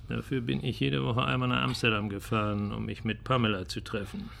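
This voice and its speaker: An actor playing a cynical male company boss in a radio drama, speaking with deliberate, mocking condescension. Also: medium pitch, flat delivery, vocal fry, fairly bright tone, a little hiss or hum. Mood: bitter, sardonic. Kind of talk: German radio drama acting.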